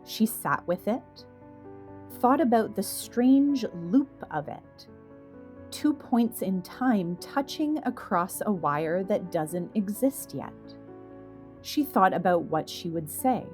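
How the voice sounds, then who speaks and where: A woman reading a scripted literary story as narrator, in a measured, reflective manner. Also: medium pitch, expressive, a little mellow, faint music behind.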